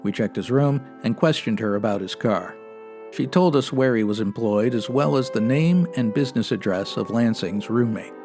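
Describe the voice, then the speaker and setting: A male actor plays a no-nonsense male police sergeant from a 1950s radio detective drama. He speaks in a middle pitch with a clipped, deadpan, factual delivery. Faint music plays underneath. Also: moderate pace, lightly acted. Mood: flat, factual. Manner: deadpan, clipped, matter-of-fact. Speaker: actor in a comedy audio drama.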